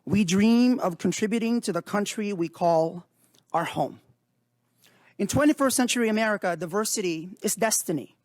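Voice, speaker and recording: A man in his thirties from the Philippines, a native Tagalog speaker, giving earnest, measured prepared testimony to a committee. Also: sits high, darkish tone, no echo. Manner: formal, earnest, measured delivery. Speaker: witness before a committee. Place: hearing room.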